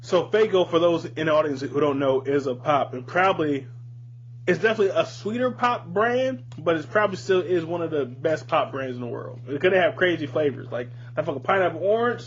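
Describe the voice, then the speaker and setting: A man's voice: fairly high pitch, brisk, a little hiss or hum. A man hosting a casual podcast chat, talking informally with slang and swearing. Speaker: podcast host. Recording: dull lo-fi audio with music behind.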